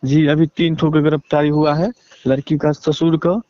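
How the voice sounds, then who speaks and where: Bihari man, flat delivery, muffled call. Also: on the high side, dry recording. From Bihar, India. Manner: halting, repetitive filler phrases, deferential. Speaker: interviewee, relative seeking justice. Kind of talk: phone interview account. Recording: dull lo-fi phone call.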